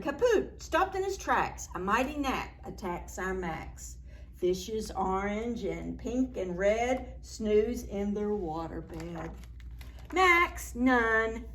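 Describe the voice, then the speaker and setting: A woman storyteller reading a picture book aloud to young children in a playful, dramatic, expressive way. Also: medium pitch, a little hiss or hum. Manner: animated, playful, dramatic reading with gasps and exclamations; addresses young children with simple questions.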